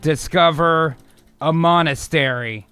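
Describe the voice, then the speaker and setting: High-pitched man narrating an improvised drama. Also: slow pace, pushed and bright. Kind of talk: dramatic narration mixed with casual chat. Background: music.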